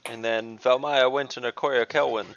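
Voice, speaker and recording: A man's voice: medium pitch, fairly even intonation, moderate pace, fairly bright tone, lo-fi sound. A man chatting casually as a player in an online tabletop game. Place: online voice call.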